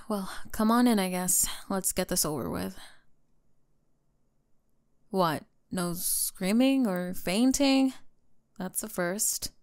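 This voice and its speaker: Woman as a slow, smooth, jaded pop diva. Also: mid-range voice, no room sound. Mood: jaded, sarcastic. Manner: dry, sarcastic, weary. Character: jaded, sarcastic female pop star, bored with her fans. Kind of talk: ASMR roleplay acting.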